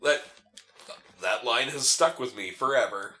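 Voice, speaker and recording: A man's voice: medium pitch, unhurried, bright, forceful tone, faint music behind. A man co-hosting a game playthrough with casual, joking banter that often pokes fun at himself. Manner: joking, self-deprecating. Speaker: co-host of a video game playthrough. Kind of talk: casual banter over gameplay.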